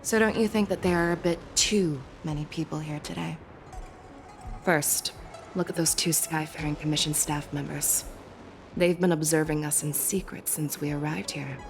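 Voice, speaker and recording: An actress playing a shrewd, measured woman general who reasons through clues, in a game cutscene. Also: low-pitched, steady tone, soft background music. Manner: analytical, measured. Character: shrewd, composed woman military leader. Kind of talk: video game cutscene voice acting.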